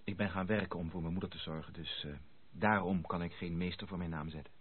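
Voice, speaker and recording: Deep, husky, earnest gentleman. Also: steady tone, fast. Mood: sympathetic, sincere. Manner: courteous, earnest. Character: earnest, sympathetic gentleman, a loyal confidant. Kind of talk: radio drama acting. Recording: tinny, band-limited old radio recording.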